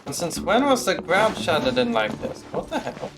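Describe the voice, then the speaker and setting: A man's voice: a little high, very animated, moderate pace. A man giving casual, mocking, profane live commentary as a game streamer.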